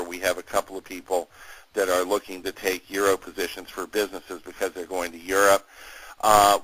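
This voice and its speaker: A middle-aged man working as a market analyst, talking through informal commentary in a measured, conversational and hedging way. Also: lowish voice, fairly bright tone, no room sound, poor audio. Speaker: market analyst hosting a conference call.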